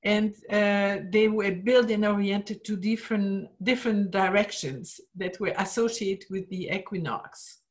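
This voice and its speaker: A woman of middle pitch lecturing over a slideshow, unhurried and explanatory. She pauses often on "uh" while searching for words, and her voice drops away at the ends of phrases. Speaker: art history lecturer. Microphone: screen-share talk, webcam in corner.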